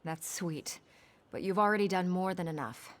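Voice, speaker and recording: A woman playing a protective, composed older sister with an earnest, measured manner in game dialogue. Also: lowish voice, lightly acted. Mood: relieved, grateful.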